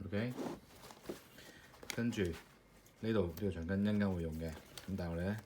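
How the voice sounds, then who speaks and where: A man's voice: low-pitched, a little fast. A man casually walking through a hands-on assembly step by step, loose and full of fillers. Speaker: maker demonstrating his product. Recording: clear background noise with clicking parts.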